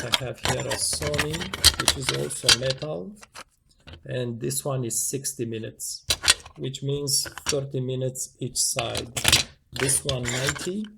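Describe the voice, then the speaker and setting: Gravelly man explaining casually, noisy recording. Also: mid-range voice. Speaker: tutorial presenter. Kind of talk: casual hands-on demonstration, unscripted. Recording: clearly noisy home recording with clicks.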